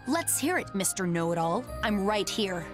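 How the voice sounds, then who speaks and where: A woman's voice: medium pitch, very animated, normal speed, music in the background, natural voice. A woman playing a stern, proud, rule-bound young soldier in a video game, speaking bluntly. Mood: scornful, angry. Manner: blunt, clipped, scolding. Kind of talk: English game voice acting.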